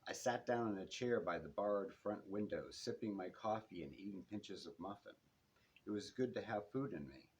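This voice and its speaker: A man with a fairly low voice reads first-person detective fiction aloud. He moves through it in measured, short phrases that drop at the ends. It is a live bookshop recording with some noise behind him. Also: animated, poor audio. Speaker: author reading own novel.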